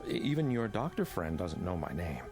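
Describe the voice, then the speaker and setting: Over loud music, a coolly condescending killer in a radio drama. His voice is low and swings widely as he speaks with unhurried, menacing courtesy. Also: very animated. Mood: taunting, sinister.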